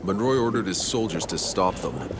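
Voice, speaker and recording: An actor playing a grave, matter-of-fact soldier in a video game cutscene. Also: male voice, deep voice, very animated, moderate pace, heavy background noise. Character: gruff soldier reporting grim discoveries to companions.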